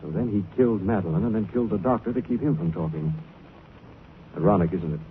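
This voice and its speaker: An actor playing a suave, wry gentleman detective with an urbane manner in a 1940s radio drama. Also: fairly low pitch, fast, close to an everyday voice. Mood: smug, explanatory. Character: suave, wry gentleman amateur sleuth, affectionate and unruffled. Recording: tinny, noisy 1940s radio broadcast.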